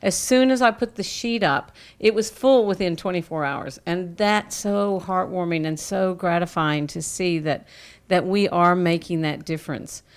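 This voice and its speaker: Woman from arkansas, lowish voice, earnest and laughy. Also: moderate pace, smooth. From Arkansas, United States. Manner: warm, laughs easily, earnest. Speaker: volunteer practitioner. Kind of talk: casual personal testimony.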